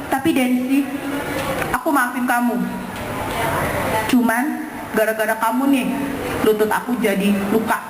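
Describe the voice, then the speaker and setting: A young schoolchild , played by a woman storyteller, forgiving yet firm and admonishing, in a high voice. It is told live in an echoing space with noise around. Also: steady tone, unhurried, pauses often, lightly acted. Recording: echoey venue, clear background noise.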